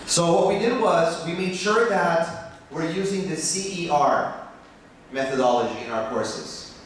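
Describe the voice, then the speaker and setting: An American man, a biology professor, giving a passionate conference talk that turns animated as he makes his points. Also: unhurried, slight background noise. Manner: passionate, rising in pitch when animated. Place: conference hall. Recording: echoey hall from far off.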